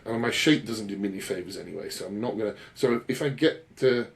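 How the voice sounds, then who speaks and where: Male, 30s, British. Lower-pitched voice, loose rambling podcast chat, blokey and self-deprecating. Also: fast talker, creaky. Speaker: podcast co-host and bodybuilding coach.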